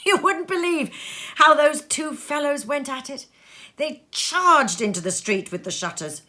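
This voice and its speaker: Animated, unhurried woman reading a story. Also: high-pitched. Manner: animated, dramatic, savours lists. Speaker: storybook reader. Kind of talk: expressive literary narration. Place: sitting room.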